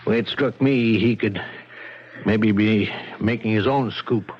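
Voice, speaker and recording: An American actor playing a steady, matter-of-fact homicide lieutenant in a 1970s radio drama, asking measured questions in a husky voice. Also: male voice, lowish voice. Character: steady, probing male homicide police lieutenant. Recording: dull vintage radio broadcast.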